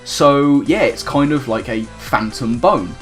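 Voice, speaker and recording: A man's voice: medium pitch, expressive, takes it slowly, a bit bright. A man hosting a casual series in a chatty, rambling way, amused by his own wordplay. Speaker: host of a nickname series. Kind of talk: casual scripted-outline commentary to viewers. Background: music under the voice.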